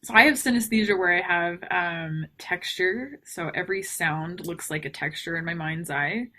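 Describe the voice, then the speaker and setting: A young woman co-hosts a casual podcast with a slight Texas accent and a bright tone. Her voice sits a little low. She chats easily, giggling often and filling pauses with ums. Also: slight background noise. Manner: chatty, giggly, many ums. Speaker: podcast co-host.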